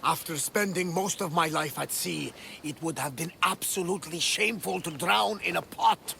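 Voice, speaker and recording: An actor playing a hearty, grateful old sea captain in a video game, gravelly and theatrical in his effusive talk. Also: a little high, normal speed, bright, forceful tone, slight background noise. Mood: relieved, grateful. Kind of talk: video game cutscene acting.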